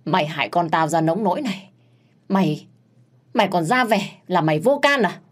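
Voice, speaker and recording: A woman's voice: fairly low pitch, lively intonation, normal speed. A woman voicing a grieving middle-aged mother who wails and hurls accusations in a storybook reading. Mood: wailing rage. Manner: wailing, shrieking accusations.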